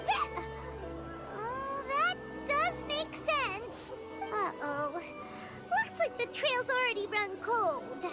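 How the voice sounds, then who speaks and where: A woman voicing a small, excitable, childlike fairy companion in a video game. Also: very high-pitched, very slow, full character voice. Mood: disappointed. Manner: exclamatory, stammering when surprised. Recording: game audio under loud music. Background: loud background music.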